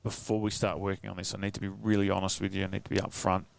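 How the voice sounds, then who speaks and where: A man with a very deep voice, a singer-songwriter guest telling a story in a casual interview, earnest and rambling with many fillers and restarts. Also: flat delivery, racing, creaky, a little hiss or hum.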